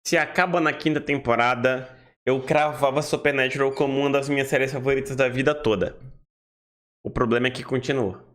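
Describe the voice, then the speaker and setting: A man's voice: mid-range voice, steady tone, a little fast, a bit bright. A man from Salvador, Brazil with a Bahian accent, hosting a casual reaction video with chatty, emphatic interjections. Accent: Baiano (Salvador, Brazil). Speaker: reaction host.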